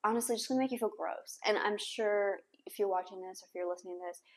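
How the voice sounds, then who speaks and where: A woman's voice: mid-range voice, quick pace. A young adult woman hosting a podcast, giving casual advice to camera in an encouraging, self-correcting way. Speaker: podcast host. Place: small bare room indoors with hard floor. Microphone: clip-on lapel mic.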